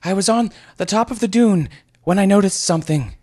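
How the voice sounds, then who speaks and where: A man voicing a stout, flustered young halfling squire, hesitant and excitable, in a comic fantasy story. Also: a little high, takes it slowly, dry recording, studio quality, voice pushed up. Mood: breathless.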